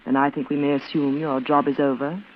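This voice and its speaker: An actress playing a cold, bitter, reclusive twin sister with a clipped, severe manner in an old-time radio suspense drama. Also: female voice, deep voice, level tone, brisk, lightly acted. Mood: curt, dismissive. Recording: noisy, tinny vintage radio broadcast.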